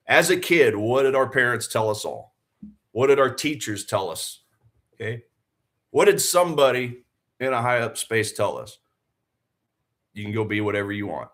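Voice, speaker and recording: A man's voice: mid-range voice, fairly bright tone. A man in his forties hosting a live trading stream. He talks in an earnest, fired-up way, repeats phrases for emphasis and asks the viewers rhetorical questions. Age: 40s. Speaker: livestream trading host. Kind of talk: live-stream motivational chat and trading commentary.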